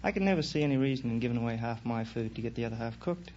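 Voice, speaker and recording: A man's voice: medium pitch, racing. A man in his twenties from Australia, answering questions as a quiz show contestant. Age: 20s. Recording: muffled 1950s radio recording, faint noise.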